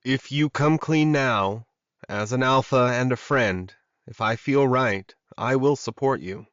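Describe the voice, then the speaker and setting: A reserved male werewolf alpha in a romance audiobook, acted by a male narrator, with a medium-pitched voice. He is steady, measured and solemn, heard on a close, dry recording. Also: no room sound, muffled recording, close to an everyday voice. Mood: reassuring.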